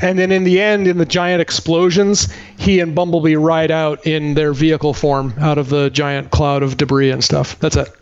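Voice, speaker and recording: Middle aged guy chatting about a movie on a podcast, kinda high voice, muffled recording. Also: flat delivery, moderate pace, hardly any pauses, smooth. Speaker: podcast co-host. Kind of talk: casual chat, movie recap. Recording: dull, band-limited podcast audio.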